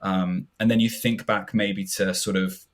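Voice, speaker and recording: A man's voice: fairly low pitch, little pitch movement, a little slow. A man, co-hosting a podcast and explaining things in a chatty way with hesitant fillers. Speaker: podcast co-host. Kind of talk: casual podcast commentary.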